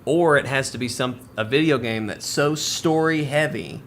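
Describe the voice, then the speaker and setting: A man's voice: mid-range voice, moderate pace, a bit bright, a little hiss or hum. A man chatting casually as a co-host on a podcast.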